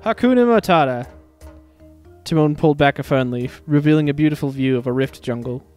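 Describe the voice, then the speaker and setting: A man's voice: medium pitch, flat delivery, fast, warm tone. A man giving a dramatic narration of a story. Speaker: fan fiction reader. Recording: background music under a dry voice.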